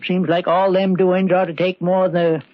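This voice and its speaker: A high-voiced country handyman, played by an actor, reasons along doggedly in a folksy rural American drawl. He is hesitant but stubborn. It is an old radio play, dry and muffled. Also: flat delivery, normal speed, no echo, close to an everyday voice. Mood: puzzled, dogged. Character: stubborn, doubting, slow-reasoning rustic handyman. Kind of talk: old-time American radio drama acting. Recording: dull, band-limited old radio recording.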